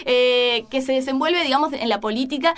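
A woman analyses an election in a relaxed talk. Her voice sits somewhat high, and she circles her points with frequent fillers and self-corrections. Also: brisk. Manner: hesitant, many fillers and restarts. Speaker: political analyst. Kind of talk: conversational political analysis.

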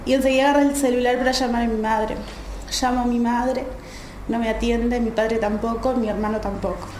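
A young woman with a Uruguayan accent, a student volunteer telling her story in a radio interview, halting with many fillers and restarts. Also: a little high, fairly even intonation, a little mellow, roomy sound, a little hiss or hum, poor audio. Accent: Uruguayan Spanish. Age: young adult.

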